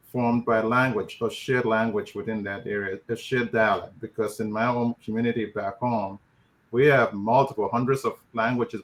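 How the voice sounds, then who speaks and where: A man with a Nigerian accent, answering as a panelist in a measured, reflective way. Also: medium pitch.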